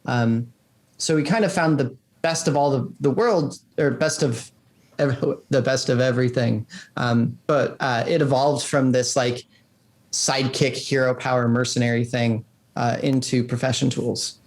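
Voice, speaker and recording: Male game designer as podcast guest, mid-pitched, casual and rambling, lots of "like". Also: fairly even intonation, measured pace. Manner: rambling explanations with frequent "like". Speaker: game designer being interviewed.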